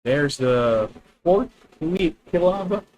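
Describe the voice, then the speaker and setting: A young man with a Filipino accent plays a card game live and talks through every move as he makes it. He often stops to correct himself and sometimes turns to speak to his viewers. His voice sits fairly high for a man. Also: lively intonation, drawn-out words, a little mellow. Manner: thinking aloud, self-correcting, addresses viewers. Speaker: streamer. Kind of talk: live gameplay commentary.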